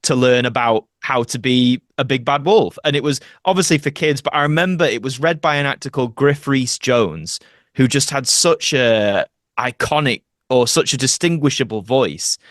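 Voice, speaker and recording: A man's voice: mid-range voice, animated, moderate pace, a bit bright, no echo, clean studio sound. A young man talking casually as a podcast guest, chatty and full of anecdote. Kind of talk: casual interview chat, reminiscing.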